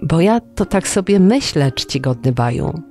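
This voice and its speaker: A storyteller putting on a high, wheedling folk-tale trickster. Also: male voice, big pitch swings, a little slow, mellow, soft background music. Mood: coaxing. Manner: wheedling, mock-humble. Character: wily poor trickster pleading with feigned meekness.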